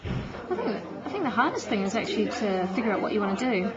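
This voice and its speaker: A woman, a teacher answering interview questions in a relaxed, reflective way with easy laughs. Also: medium pitch, fast talker. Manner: chatty, laughs easily, reflective. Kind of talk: casual phone interview. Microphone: phone. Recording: muffled phone-call recording with crowd noise behind.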